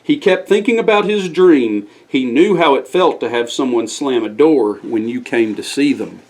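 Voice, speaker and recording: A man's voice: mid-range voice, expressive, moderate pace, rough recording. A man reading a scripted bedtime story aloud as the storyteller.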